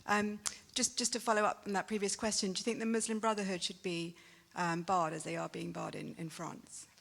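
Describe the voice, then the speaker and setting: A woman's voice: mid-range voice, level tone, brisk, gravelly, a bit bright. A woman, a freelance journalist pressing follow-up questions. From United Kingdom.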